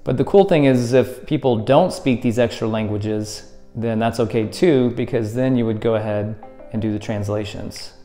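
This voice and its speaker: A man in his thirties talks through a hands-on tutorial with easy, upbeat energy, explaining each step as he goes. His voice sits in an ordinary middle pitch. He is recorded indoors on a lapel mic, with faint music playing softly behind him. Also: moderate pace. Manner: upbeat, explains step by step while handling props. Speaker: escape room tutorial host. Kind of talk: friendly how-to demonstration. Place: indoors at a table against a plaster wall.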